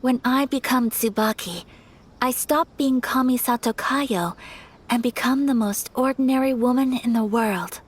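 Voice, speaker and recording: An actress voicing a graceful young noblewoman in a game, soft and measured, quietly wistful. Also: fairly high pitch, breathy edge. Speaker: noble daughter of a clan. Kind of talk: game voice acting.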